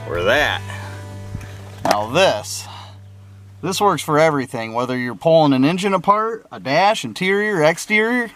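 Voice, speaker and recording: A man's voice: on the high side, fairly bright tone. A man talking informally to viewers as he explains a hands-on car project, in a do-it-yourself vlog style. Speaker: car restoration vlogger. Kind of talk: casual do-it-yourself vlog narration.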